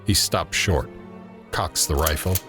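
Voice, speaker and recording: A man narrating scene directions in a scripted audio drama, grave and measured. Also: low voice, pushed and bright, faint music behind, heavily acted. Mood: measured, suspenseful.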